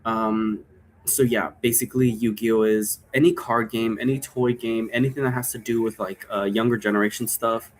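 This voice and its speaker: Low-voiced man rambling casually over noise. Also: steady tone, moderate pace. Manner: informal, digressive, thinking aloud. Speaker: game creator explaining role-play rules. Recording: noticeable background noise.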